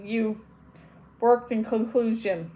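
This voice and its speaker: A woman's voice: fairly high pitch, steady tone, slow, dull audio, a little hiss or hum. A woman voicing a bitter, cornered witch in a storybook reading, speaking in an intense, scornful way. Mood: accusing.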